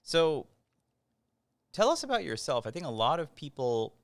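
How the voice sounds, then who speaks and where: A man's voice: a little high, big pitch swings, moderate pace, no room sound. A man hosting an interview series, introducing the guest and asking friendly questions.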